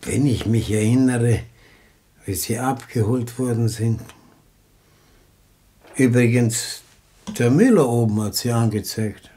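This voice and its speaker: Evasive neighbour, husky, mid pitch, matter-of-fact, colloquial, radio drama. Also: male voice, takes it slowly, pauses often, dark tone. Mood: matter-of-fact, uneasy. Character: plain-spoken, evasive Viennese neighbour, Herr Nowak. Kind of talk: German radio play acting.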